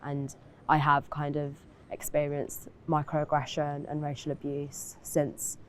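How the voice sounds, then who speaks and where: A young woman speaking reflectively in a documentary interview, pausing often with fillers. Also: low-pitched, completely flat delivery, a little hiss or hum.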